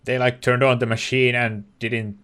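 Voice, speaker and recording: A man's voice: medium pitch, level tone, normal speed, a bit bright, clean tone. A man giving casual let's play commentary, offhand, reacting and musing aloud.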